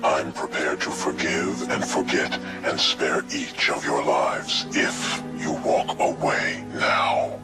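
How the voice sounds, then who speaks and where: A man playing a menacing crime lord in a video game, speaking formally in a grandiose, measured, threatening way. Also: very deep voice, steady tone, over loud music. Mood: calm menace. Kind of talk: recorded villain speech in video game cutscene.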